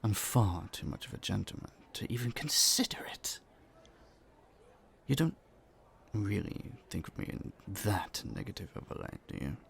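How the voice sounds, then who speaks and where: Low, creaky gentlemanly young prince acted by a man. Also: animated, normal speed, whispered. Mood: flustered, playful. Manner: playful, polite, slightly flustered, hesitant stammers. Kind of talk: romantic audio roleplay, speaking to a listener. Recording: faint noise, background ambience.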